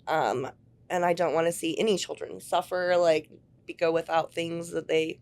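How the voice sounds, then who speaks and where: Female ministry volunteer, lower register, halting and sincere. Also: steady tone, a little mellow. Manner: heartfelt, halting speech with fillers. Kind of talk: casual interview talk.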